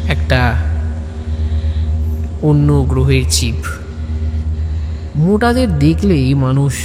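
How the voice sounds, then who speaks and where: A man narrating an audio story as host, emphatic and repeating phrases for effect. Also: medium pitch. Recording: long reverb, clear vehicle-like background noise.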